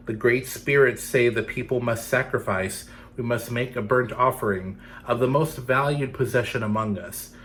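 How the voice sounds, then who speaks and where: A man's voice: medium pitch, a bit bright, slight background noise, natural voice. A man as a grave, proclaiming shaman. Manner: grave, declaiming. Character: solemn male shaman proclaiming to his people.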